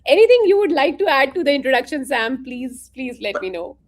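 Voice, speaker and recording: A woman's voice: sits high, big pitch swings, a little fast. A woman hosting a live interview stream, introducing her guest enthusiastically over a video call.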